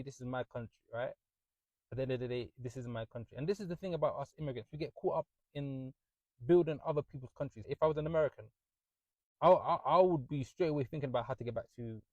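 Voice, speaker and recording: A man's voice: medium pitch, mellow, no echo, low-quality recording. A man from London with a London British accent, giving an earnest, opinionated monologue with emphatic repetition.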